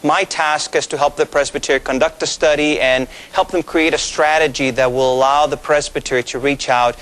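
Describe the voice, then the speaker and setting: A man in his thirties from Mexico, a pastor with Spanish as his first language, explaining things in a measured way with many fillers during a TV studio interview. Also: on the high side, fairly even intonation, a bit bright. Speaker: pastor, guest.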